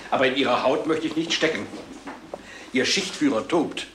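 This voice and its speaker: A man's voice: medium pitch, normal speed, lightly acted. Man playing a wry detective, echoey noisy recording. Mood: wry, needling. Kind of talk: vintage German TV police series acting. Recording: noisy, echoey old TV soundtrack.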